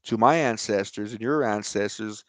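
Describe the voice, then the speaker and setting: Host, male, lowish voice, dry close sound, rambling emphatic preaching style. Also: moderate pace, a bit bright, dry recording. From United States. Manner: rambling, emphatic, frequent "right?" and "you know". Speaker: online show host. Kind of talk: informal religious talk to camera.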